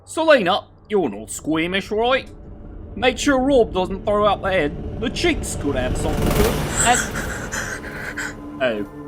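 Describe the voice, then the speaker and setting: A woman's voice: mid-range voice, very animated, takes it slowly. A woman playing a matter-of-fact, grisly kitchen butcher in an audio drama, casual and businesslike. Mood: casually brisk. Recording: clear ambient noise behind.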